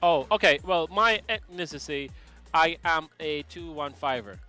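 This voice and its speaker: A man's voice: a little high, lively intonation, measured pace, pushed and bright, soft background music. A man from Jamaica hosting a live trading stream, chatting casually and joking with viewers in the chat. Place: home setup, UK.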